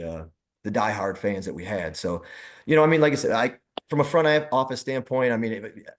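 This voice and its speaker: A man reminiscing casually as a guest, rambling a little with halting, repeated phrases. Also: on the low side. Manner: halting, repetitive, rambling delivery. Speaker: former baseball team front-office staffer, guest.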